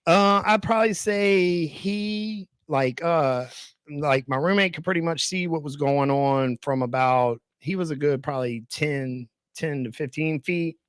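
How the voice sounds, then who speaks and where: A man's voice: fairly high pitch, a little slow, clear, resonant tone, dry recording. A man telling a story casually and animatedly, with slangy, emphatic phrasing and frequent repetition. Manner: slangy, emphatic, repeats phrases, says "bro" and "dude". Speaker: podcast storyteller.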